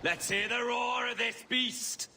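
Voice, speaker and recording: An actor playing a proud, cynical pirate captain in a video game, with a theatrical, measured, sardonic way of speaking. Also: male voice, very high-pitched, steady tone, vocal fry, over loud music, heavily acted. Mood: commanding, sardonic.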